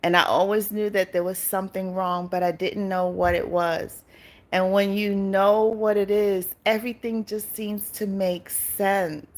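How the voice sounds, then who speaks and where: A woman's voice: medium pitch, fairly even intonation, measured pace. A chatty middle-aged woman from Barbados hosts a casual live stream. She talks straight to her viewers, greets them by name and breaks into easy laughs. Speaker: YouTube channel host.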